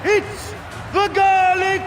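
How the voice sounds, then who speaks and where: A man's voice: very high voice, monotone, full character voice. A man playing a bombastic arena announcer in a video game, declaiming theatrically to a crowd. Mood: triumphant showmanship. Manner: drawn-out, declamatory shouting. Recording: loud music and roaring crowd behind.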